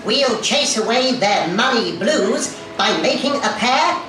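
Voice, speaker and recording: A woman playing a small, excitable, kind elf in a children's pantomime, sing-song and gushing. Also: fairly high pitch, takes it slowly, faint music behind. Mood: kindly, sing-song. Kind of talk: children's pantomime puppet show. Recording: very echoey theatre, distant, crowd.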